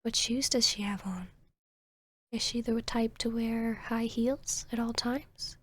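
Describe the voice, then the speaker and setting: Woman playing a breathy, slow-talking hypnotic vampiress. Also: on the high side. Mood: soothing, probing. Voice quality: breathy, half-whispered. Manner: slow, soothing, commanding, teasing. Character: seductive, arrogant vampiress posing as a soothing hypnotic therapist. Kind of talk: ASMR roleplay, hypnotic guided induction.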